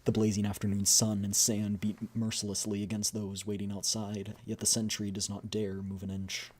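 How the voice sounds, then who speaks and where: A man streaming a dramatic read-aloud of a video game story, with measured, expressive storytelling. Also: fairly low pitch.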